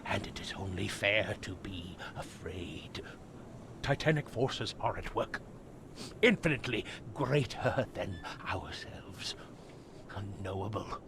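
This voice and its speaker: Grave doomsday preacher for a game, slow with big rises and falls, solemn, noisy background. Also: male voice, on the low side. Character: grave, fervent male doomsday preacher prophesying darkness. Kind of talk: video game cutscene acting.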